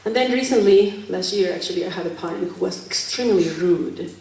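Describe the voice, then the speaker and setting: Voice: medium pitch, a little fast, a little mellow, a little hiss or hum. A panelist from Mexico with a Mexican accent, telling personal experiences in a candid, conversational way with wry asides. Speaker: panelist, teacher. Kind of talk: personal testimony at a church panel. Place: church hall. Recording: echoey hall, mic far off.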